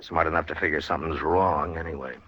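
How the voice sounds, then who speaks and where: An actor playing a steady, laconic, middle-aged American marshal in an old radio western, firm and unflustered. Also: male voice, a bass voice, quick pace, highs cut off. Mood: calm, wry. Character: steady, dryly tough frontier marshal, middle-aged man. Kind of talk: 1950s radio western acting and first-person narration. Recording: noisy, band-limited old radio broadcast.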